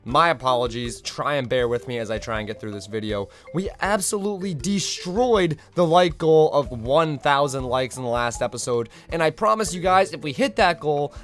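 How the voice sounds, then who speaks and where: A young man, hoarse from losing his voice, telling his own school stories in a chatty, self-deprecating way as a video storyteller. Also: on the high side, a bit bright. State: hoarse, recovering lost voice. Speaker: vlogger. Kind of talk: casual storytime monologue.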